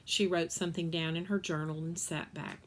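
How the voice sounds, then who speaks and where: A woman reading a children's novel aloud in an expressive storytelling style. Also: lowish voice, brisk, slight background noise.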